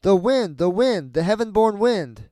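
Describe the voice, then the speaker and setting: Man voicing an earnest fairy-tale child, raised pitch. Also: sing-song, unhurried, warm tone, dry recording, studio quality. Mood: innocent. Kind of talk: storybook character voice.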